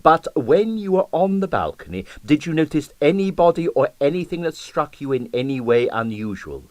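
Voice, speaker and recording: A man voicing a brisk, tactful police detective in an audiobook, with a polite, probing manner. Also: on the high side, big pitch swings, darkish tone, no room sound, voice pushed up.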